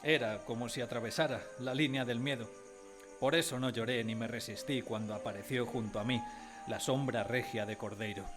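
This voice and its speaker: A man playing a sensitive grown man who recalls his timid boyhood, in expressive, dramatised first-person storytelling. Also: mid-range voice, normal speed, natural voice. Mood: nostalgic. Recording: prominent music behind the voice.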